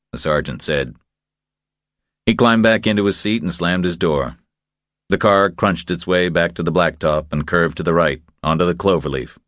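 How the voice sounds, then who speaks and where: A man's voice: sits low, a bit bright, no room sound. A man reading scripted crime fiction as an audiobook narrator, close on the microphone.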